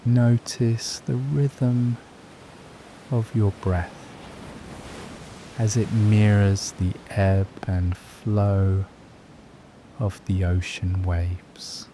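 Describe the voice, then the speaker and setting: A man guiding a scripted meditation in a soothing, gentle, measured way, leaving long pauses between phrases. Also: on the low side, little pitch movement, slight background noise. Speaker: meditation guide.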